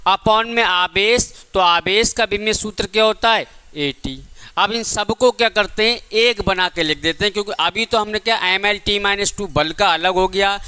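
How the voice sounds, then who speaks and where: Male teacher, high-pitched, bright smooth tone, step-by-step lecture, question-and-answer explaining. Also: moderate pace. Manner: asks rhetorical questions and answers them himself. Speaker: physics teacher.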